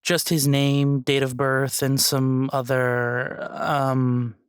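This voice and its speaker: A gentle, tentative young female student voiced by a woman in an audio drama. Her voice sits low for a woman, and she speaks in a conciliatory, hesitant way. The recording is dry and close. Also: level tone, takes it slowly, clean tone, no echo.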